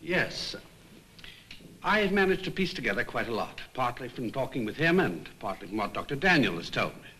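An actor playing an articulate British psychiatric nurse, gravely narrating a case history in a 1950s film. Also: male voice, big pitch swings, sharp tone, a little hiss or hum. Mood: grave, explanatory. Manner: measured, grave, emphatic. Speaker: hospital staff member recounting a case history. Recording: faintly rumbling 1950s film soundtrack.

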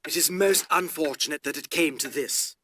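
A man playing an eager, ambitious knight officer in a video game, formal and crisply deferential. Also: on the high side, normal speed, pushed and bright, a little hiss or hum. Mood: solemn regret. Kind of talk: video game cutscene acting.

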